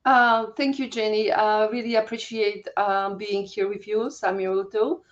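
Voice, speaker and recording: An older woman with a Polish accent, a holistic health coach telling her story as an interview guest in a halting way, searching for words. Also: medium pitch, fairly even intonation, dull audio. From Poland.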